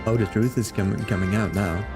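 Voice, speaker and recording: A man's voice: on the low side, fairly quick. Man streaming a game over music. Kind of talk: cozy game stream commentary.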